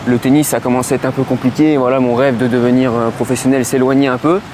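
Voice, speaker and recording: A man in his twenties from the Lyon region, answering interview questions outdoors in a city, pausing often with fillers. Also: medium pitch, little pitch movement, darkish tone. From Lyon region, France. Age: 20s. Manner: hesitant, many fillers and restarts. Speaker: interview guest. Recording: clear street noise behind.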